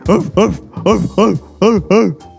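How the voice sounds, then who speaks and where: An actor voicing a faithful old police dog that talks tersely and haltingly in a gravelly audio drama voice. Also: mid-range voice, darkish tone, soft background music. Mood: panting. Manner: terse, halting, one or two words at a time.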